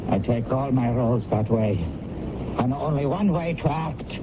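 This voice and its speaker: A man's voice: mid-range voice, lively intonation, normal speed, natural voice. A man acting in a radio drama as a self-important, coolly polite actor, precise and haughty. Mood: coolly proud. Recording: very noisy, phone-like old radio recording.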